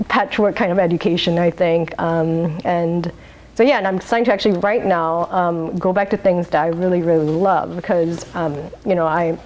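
A woman's voice: fairly low pitch, steady tone, normal speed, darkish tone. Chatty woman who laughs a lot. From Singapore. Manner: chatty and rambling, laughs often, many um's and restarts. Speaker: interviewee, wine bar owner. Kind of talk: oral history interview.

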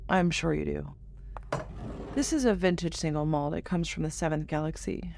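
An actor playing a refined, guarded dignitary in an audio drama, speaking formally in a measured, deliberate way. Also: medium pitch, creaky, background noise, close to an everyday voice. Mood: reminiscing.

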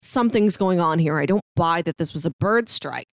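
A woman's voice: lowish voice, expressive, a little fast, no room sound, clean studio sound. A woman co-hosting a podcast, giving a casual, conversational review and recap. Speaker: podcast co-host.

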